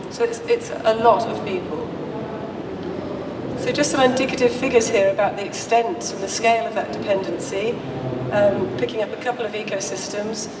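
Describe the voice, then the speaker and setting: A woman researcher with a croaky voice giving a measured conference presentation. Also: medium pitch. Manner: measured, explanatory, with occasional restarts. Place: large conference hall. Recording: echoey hall recorded from far off with noise.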